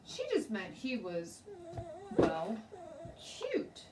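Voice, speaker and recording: A woman voicing a patient male school principal, gently explaining in a measured way in a children's storybook read-aloud. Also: medium pitch, huge pitch swings, heavily acted. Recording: roomy, distant mic, faint noise.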